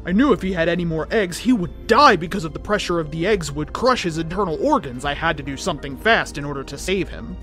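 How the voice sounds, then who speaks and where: A man's voice: on the high side, expressive, quick pace, hardly any pauses, a bit bright, faint music behind. A man reading a story aloud as host, with a theatrical, comedic delivery that acts out the dialogue.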